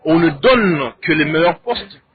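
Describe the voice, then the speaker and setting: Congolese guy debating on a talk panel, slightly higher voice, thin phone-ish audio. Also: expressive, measured pace, background noise. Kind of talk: conversational opinion and debate. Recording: thin, phone-like narrow sound.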